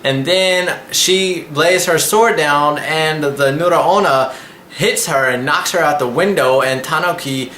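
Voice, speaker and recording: A man in his twenties gives a casual, slangy vlog recap. His voice sits a little high and is bright and forward. The room is echoey, and he rambles loosely through his review. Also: slow pace. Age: 20s. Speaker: anime reviewer and channel host. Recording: echoey room with faint background noise.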